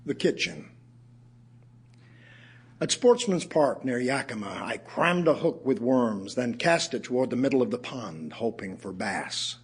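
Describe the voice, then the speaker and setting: An actor playing an ailing middle-aged writer, reciting his poems reflectively in an audio theatre piece, with a husky voice and emphatic pauses. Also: medium pitch, expressive. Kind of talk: dramatic audio theatre poetry reading.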